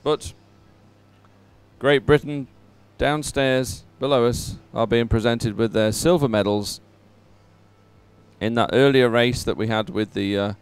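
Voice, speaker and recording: Male live sports commentator, very clean smooth voice, mid-pitched, informal and encouraging. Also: unhurried, long pauses.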